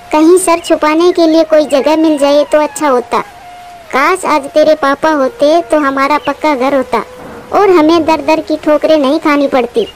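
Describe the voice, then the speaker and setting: Woman voicing a high-pitched, pleading mother bird. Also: natural voice. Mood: despairing. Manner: pleading, tender.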